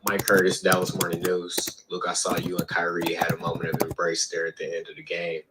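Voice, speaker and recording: A very deep, flat-sounding man speaks formally at a press conference. He sounds off-mic, with loud background noise behind him. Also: a little fast. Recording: distant noisy broadcast audio.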